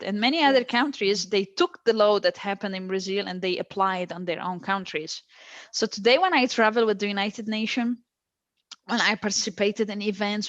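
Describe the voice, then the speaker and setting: A woman's voice: mid-range voice, dry recording, lo-fi sound. Earnest woman. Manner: emphatic, repeats phrases for stress. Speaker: guest, stateless-rights advocate. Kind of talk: earnest interview answers.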